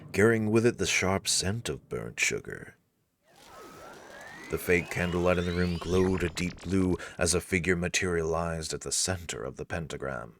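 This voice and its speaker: A man's voice: deep voice, lively intonation, normal speed, a bit bright, slight background noise. A man narrating a scripted story as its narrator.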